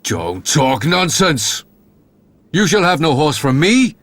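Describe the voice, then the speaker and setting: A man voicing a stern old country gentleman, a curt and dismissive father in a storybook tale. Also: on the high side, big pitch swings, takes it slowly, no echo, exaggerated voice. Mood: scornful. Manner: curt, scolding. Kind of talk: storybook character voice.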